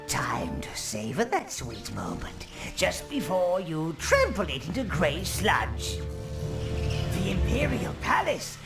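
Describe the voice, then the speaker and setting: An actor playing a gleefully malicious, fawning old minion advisor in a video game, with a sardonic, relishing delivery. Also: male voice, high voice, wildly swooping pitch, slow, music in the background, full character voice. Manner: obsequious, sardonic, relishing his words. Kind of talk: theatrical video game character acting.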